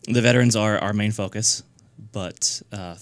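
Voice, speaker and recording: Deep smooth voice, guy in his 30s from new hampshire, dry close sound, halting and earnest, lots of ums. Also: steady tone, dry recording. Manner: earnest, halting, many fillers and restarts. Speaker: guest, nonprofit founder. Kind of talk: casual radio interview.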